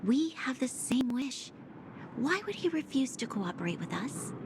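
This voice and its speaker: A woman voicing a level-headed, perceptive woman in a video game, speaking steadily and quietly. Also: high-pitched, sing-song, a little slow, full character voice. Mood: reasoning. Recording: game audio with clear ambient noise.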